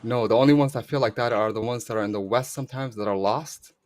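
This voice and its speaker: A man's voice: medium pitch, fast, darkish tone. Earnest male caller from Somalia. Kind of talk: casual call-in chat.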